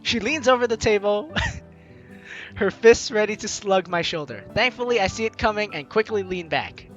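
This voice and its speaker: Casting: male Let's Play host, bright high voice, jokey and theatrical, music faintly underneath. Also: animated, moderate pace, highs cut off. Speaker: gaming video host. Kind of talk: playful Let's Play commentary and dramatic read-aloud.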